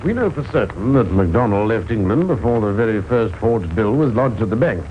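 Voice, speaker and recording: Male police detective actor, vintage radio serial. Brisk, authoritative, animated pitch, muffled old recording. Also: soft background music, close to an everyday voice. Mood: determined. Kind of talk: vintage radio crime drama acting.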